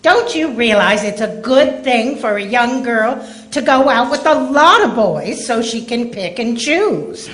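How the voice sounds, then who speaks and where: A woman's voice: a little high, lively intonation, unhurried, fairly bright tone. A woman playing a sensible, exasperated wife and mother in an old-time radio comedy. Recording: roomy, faintly noisy stage.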